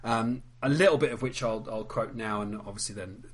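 A man's voice: fairly low pitch, fairly quick, slightly rough. A British man co-hosting a casual comedy podcast, jokey and rambling, often trailing off mid-sentence. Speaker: podcast co-host.

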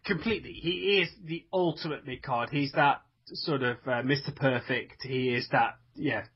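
Male podcast co-host, husky and emphatic, muffled recording. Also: mid-range voice, normal speed. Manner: emphatic, frequent restarts. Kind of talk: casual chat.

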